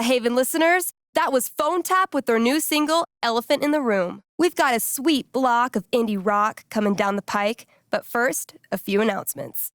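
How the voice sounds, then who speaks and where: A woman's voice: fairly high pitch, dry recording, studio quality. An actress playing a wry, casual young woman in a video game, teasing and loyal. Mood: upbeat radio patter. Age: young adult. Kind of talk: naturalistic video game dialogue acting.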